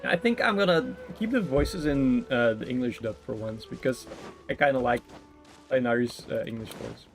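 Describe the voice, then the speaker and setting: A man giving casual livestream commentary on a game. His voice is mid-pitched, and he rambles in a joking, giggly way. Game music plays faintly behind him. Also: moderate pace. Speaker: game streamer.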